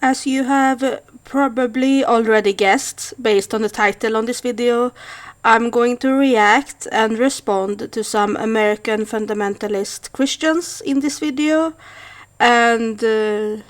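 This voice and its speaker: A woman's voice: fairly high pitch, fairly even intonation, a little slow. A woman in her thirties from Sweden with a Swedish accent, speaking thoughtfully to camera with frequent hesitations. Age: 30s. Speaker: vlogger. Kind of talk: casual monologue to camera.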